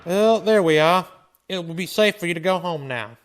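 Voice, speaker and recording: An actor playing a steady frontier town marshal, commanding but gentle, in a Western radio drama. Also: male voice, sits high, normal speed, very noisy, lightly acted. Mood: brisk, businesslike.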